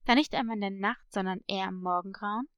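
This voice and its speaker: A woman's voice: mid-range voice, quick pace, a bit bright, clean tone, no echo, studio quality, voice pushed down, natural voice. A woman voicing a mysterious, knowing male parrot with an ominous manner in a storybook. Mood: knowing, foreboding.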